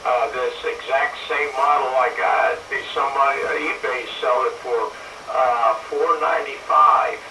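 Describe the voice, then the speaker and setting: Guy rambling on a cb radio, halting, sounds far off and echoey. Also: medium pitch, steady tone. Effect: CB radio transmission. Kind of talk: casual two-way radio chat. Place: voice heard over CB radio with clear static noise. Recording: distant, echoey, noisy CB radio transmission.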